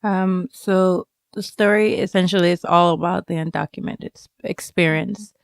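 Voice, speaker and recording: A woman's voice: on the low side, fairly even intonation, normal speed, gravelly, no room sound, clean studio sound. A woman from Jamaica who came to America as a child, answering interview questions reflectively, feeling her way through personal thoughts. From Jamaica, moved to America as a child. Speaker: student writer, interview guest.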